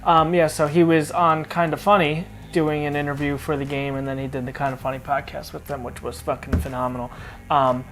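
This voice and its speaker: A man's voice: a little high, flat delivery, brisk, fairly bright tone. A man co-hosting a casual podcast chat, laid-back and hesitant, with frequent filler sounds and casual swearing. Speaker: podcast co-host.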